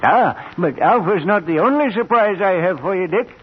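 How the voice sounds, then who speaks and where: An actor playing a proud, kindly old inventor professor in a 1940s radio drama, with an avuncular, showmanlike manner. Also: male voice, high-pitched, lively intonation, moderate pace. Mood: proud, eager. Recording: muffled, noisy 1940s radio recording.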